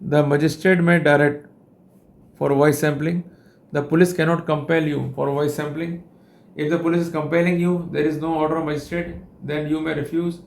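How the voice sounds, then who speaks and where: A man with an Indian accent and a slightly high voice delivers a legal lecture. His phrasing is formal and wordy, and he explains things like a teacher setting out rules. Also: flat delivery, normal speed, creaky. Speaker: legal educator and host.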